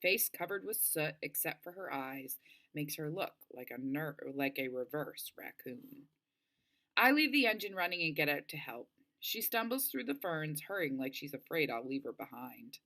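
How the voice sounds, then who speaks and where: A woman reading a novel aloud as narration, with expressive, dramatic storytelling. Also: low voice. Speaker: audiobook-style reader.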